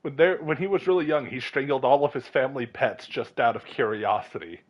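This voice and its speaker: A man's voice: mid-range voice, fairly quick, muffled recording. A young man giving casual, playful gameplay commentary in a rambling, incredulous way. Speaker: game streamer.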